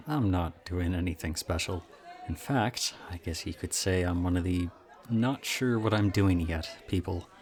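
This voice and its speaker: A very low-pitched young college guy, played by a male voice actor in an intimate roleplay audio drama. He is chatty and charming, pokes fun at himself and teases softly, with something subtly odd about him. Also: a little slow. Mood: curious, friendly. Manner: chatty, self-deprecating, softly teasing.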